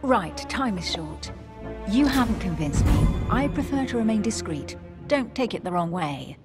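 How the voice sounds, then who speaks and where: A woman's voice: mid-range voice, sing-song, normal speed, lightly acted. An actress playing a guarded, witty, self-possessed woman of a secret society in a video game, composed and articulate. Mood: discreet, softer. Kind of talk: dramatic video game dialogue. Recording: music under the voice.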